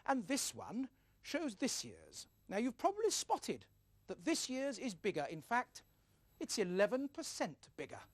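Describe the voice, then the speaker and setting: A man's voice: extremely high pitch, wildly swooping pitch, a little slow, long pauses, full character voice. A man acting an outspoken, indignant TV weatherman in a screen drama, emphatic and sardonic.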